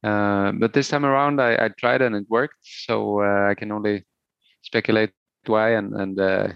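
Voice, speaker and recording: A middle-aged man from Sweden, a native Swedish speaker, talking haltingly and thoughtfully as a musician in a casual interview. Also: on the low side, fairly even intonation, gravelly, clear, resonant tone, no room sound, highs cut off. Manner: hesitant, halting, thoughtful, searching for words.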